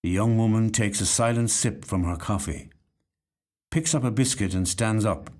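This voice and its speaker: A man reading a literary short story as scripted narration, with measured, dramatic, deliberate phrasing. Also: lowish voice, a little mellow. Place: recording studio.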